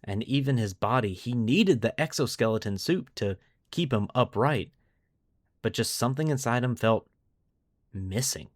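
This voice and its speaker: A man hosting a lore podcast, telling the story in a deliberate, semi-scripted narrative style with dramatic pauses. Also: medium pitch, expressive, no echo, studio quality. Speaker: podcast host.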